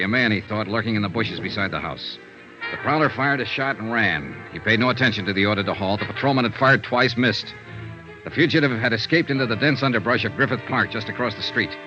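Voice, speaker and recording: A man's voice: mid-range voice, natural voice. A man playing a wry, hardboiled private investigator narrating his case in clipped 1950s radio drama style. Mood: urgent. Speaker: narrator and lead detective. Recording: dull vintage radio recording with loud music.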